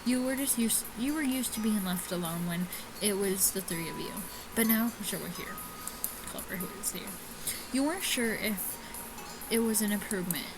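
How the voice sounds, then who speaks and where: A woman's voice: medium pitch, lively intonation, normal speed, music in the background, poor audio. A woman reading a visual novel aloud casually, stumbling over the text and adding offhand comments. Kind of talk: casual let's-play read-aloud with commentary.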